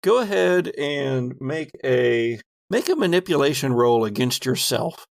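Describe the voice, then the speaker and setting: A man narrating a tabletop roleplaying game as game master, telling the scene in a halting, deliberate way. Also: medium pitch, expressive, gravelly.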